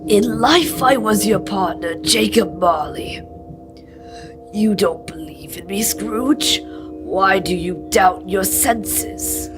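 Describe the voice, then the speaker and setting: A young woman plays a dead businessman's doomed ghost in a radio play. The delivery is very slow, solemn and drawn out, full of woe, with clear music behind it. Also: medium pitch, close to an everyday voice. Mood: solemn reproach. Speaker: student actor. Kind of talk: old-time radio drama acting.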